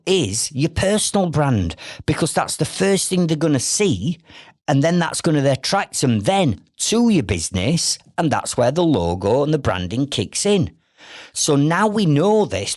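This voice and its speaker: A man's voice: a little high, dry recording, studio quality. A middle-aged man with a Yorkshire accent, hosting a solo business podcast in a direct, persuasive way with many rhetorical questions. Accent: Northern English, Yorkshire. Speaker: podcast host.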